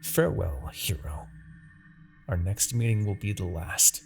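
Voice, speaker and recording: Casting: male actor for a villainous demon king, gravelly and wildly swinging in pitch, seductive, taunting, theatrical fantasy roleplay. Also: animated, music in the background. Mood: cold, threatening. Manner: theatrical, taunting, drawn-out dramatic delivery. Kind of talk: scripted fantasy ASMR roleplay acting.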